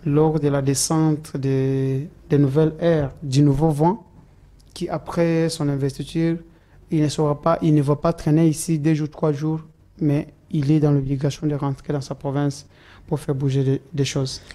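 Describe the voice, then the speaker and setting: A man speaks in a political interview, his voice dark and mellow. He pauses often, fills gaps with hesitations and restarts his sentences as he argues his case. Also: a little high, little pitch movement. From Democratic Republic of the Congo. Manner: hesitant, many fillers and restarts.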